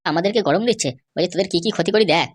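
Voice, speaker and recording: A woman's voice: lowish voice, rapid-fire, lightly acted. A woman voicing a sly, insistent male schemer and constable in an amateur comedy cartoon. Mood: scheming, gossipy.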